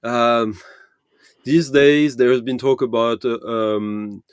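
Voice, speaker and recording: A man's voice: mid-range voice, steady tone, slow pace, clear, resonant tone, dry recording. Rambling, thoughtful man on a video call. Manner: thoughtful, rambling, many fillers and self-corrections. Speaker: panel guest, vinyl channel creator. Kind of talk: casual panel chat.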